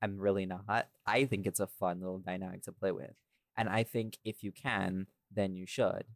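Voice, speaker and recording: A man's voice: low-pitched, steady tone, moderate pace, no room sound, studio quality. A man chatting casually as a podcast host, rambling and opinionated, with some casual swearing. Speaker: podcast host and audio drama writer.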